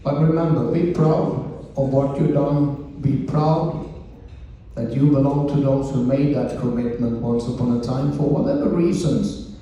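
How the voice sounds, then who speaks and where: A ship's captain, a man from Sweden with a Swedish accent, giving a slow, joking speech at a ceremony on a cruise ship. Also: medium pitch, steady tone, mellow. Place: event room on a cruise ship. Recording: echoey room, distant mic, faint noise.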